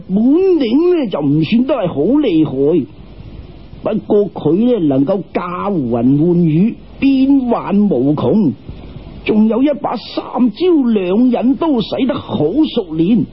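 一个男人用粤语口音扮演谦卑嘅小土地神，拉长声音慢慢解释，录音发闷。. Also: male voice, high-pitched, very animated, husky, exaggerated voice. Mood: deferential. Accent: Cantonese. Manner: humble, drawn-out explaining. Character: deferential little earth god giving a report. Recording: dull, muffled radio-style recording.

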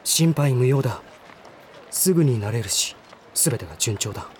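A male actor playing a refined, sheltered young gentleman in a voice drama, speaking formally and earnestly. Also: mid-range voice, lively intonation, moderate pace, slight background noise, natural voice. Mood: stiff, prickly. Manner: formal, stiff, earnest speech. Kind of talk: Japanese voice drama acting.

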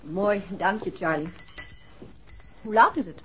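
An actress playing an affectionate, playful, well-off wife in a 1960s radio drama. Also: female voice, medium pitch, slow, lightly acted. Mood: wry, relaxed. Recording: dull, faintly noisy old radio recording.

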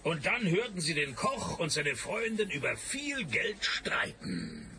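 An actor playing a cynical, wisecracking private detective who narrates a radio crime comedy in a deadpan, gravelly voice. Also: male voice, fairly high pitch, unhurried, full character voice. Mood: mocking. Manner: deadpan, sardonic.

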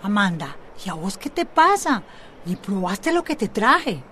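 A woman's voice: mid-range voice, sing-song, unhurried, a bit bright, a little hiss or hum. An actress with a Costa Rican accent playing a nosy, motherly, easily shocked neighbour in a radio soap opera. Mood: prying, knowing. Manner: inquisitive, teasing.

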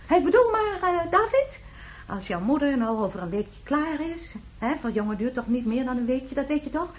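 A woman's voice: high voice, big pitch swings, normal speed. A woman playing a flustered, scatterbrained schoolteacher with a short temper, scolding and rambling emphatically in a Dutch radio drama. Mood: agitated, rambling.

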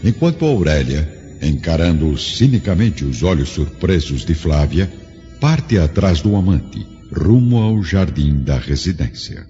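A man's voice: extremely low pitch, sing-song, unhurried, warm tone. A man with a Brazilian accent giving solemn, dramatic scripted narration for a radio drama. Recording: muffled, long reverb, music behind.

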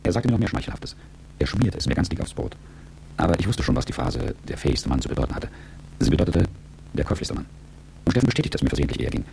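A low, quick-spoken man in a radio drama, a calculating and world-weary executive looking back on his life. His telling is articulate and reflective, with a gravelly edge. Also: very animated, warm tone, a little hiss or hum, full character voice, rough recording. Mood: reflective, sober. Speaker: narrator and lead character. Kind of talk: radio drama first-person narration.

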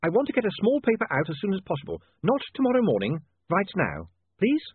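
A man's voice: sits high, wildly swooping pitch, quick pace, husky, dry recording, pitched above the performer's own voice, exaggerated voice. A man voicing an urgent, harried newspaperman in an audiobook, emphatic and sprinkled with hesitant ums. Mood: urgent, loud. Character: harried, urgent young male newspaperman dictating headlines. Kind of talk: audiobook character voice. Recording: band-limited, phone-like sound.

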